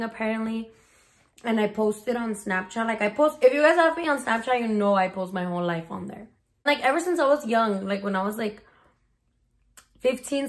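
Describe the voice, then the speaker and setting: A woman of twenty chatting casually in a bedroom Q&A vlog, slangy and mixing in Spanish words, recorded on a phone. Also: fairly high pitch. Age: 20s. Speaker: vlogger. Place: small bedroom. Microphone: phone camera at arm's length.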